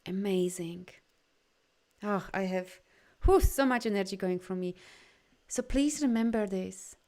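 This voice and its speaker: A woman's voice: mid-range voice, measured pace, a little mellow. A woman who is a non-native English speaker, talking informally as a coach to live viewers in an effusive, encouraging manner. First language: not English. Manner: effusive, encouraging, often reading out comments. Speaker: energy healing coach hosting a live session.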